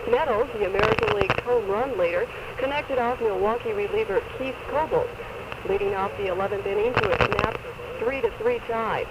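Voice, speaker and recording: A man's voice: sits high, brisk. A man reading scripted sports news, captured on a 1970s AM radio recording. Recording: very noisy 1970s AM radio taping.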